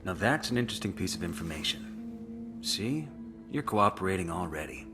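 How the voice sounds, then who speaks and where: A special operations soldier in a game cutscene. His deep male voice stays calm and dry, with cool menace underneath. Music is clearly heard behind him. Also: moderate pace. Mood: coolly menacing.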